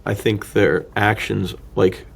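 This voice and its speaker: A man's voice: lowish voice, fairly even intonation, slow, gravelly, a little hiss or hum. A man co-hosting a podcast, discussing film casually and thinking aloud in a rambling, slangy way. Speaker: podcast co-host.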